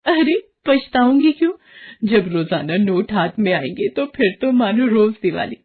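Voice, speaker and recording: A woman voicing an excitable, greedy young wife and mother in a cartoon moral story, emphatic and melodramatic. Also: mid-range voice, a little slow, husky, narrow, tinny audio, voice pushed up. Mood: excited, greedy.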